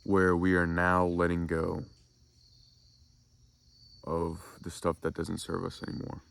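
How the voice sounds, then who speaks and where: A man hosting a soft-spoken spiritual ASMR talk, congested and nasal from a cold, musing slowly and reflectively. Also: monotone. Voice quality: deep, gravelly. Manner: slow, meandering reflective musing. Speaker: ASMR spiritual channel host.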